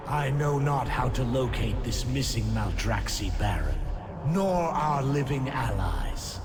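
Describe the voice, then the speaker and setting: A grave otherworldly adviser in a fantasy game, formal and helpful. His mid-pitched voice moves slowly with lively swings, over loud music. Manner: formal, archaic phrasing. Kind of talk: dramatic fantasy game dialogue.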